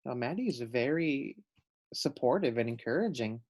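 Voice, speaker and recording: A man's voice: mid-range voice, animated, moderate pace, a little mellow. A man reading a children's storybook aloud, adding simple explanatory asides for young listeners. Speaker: storybook reader.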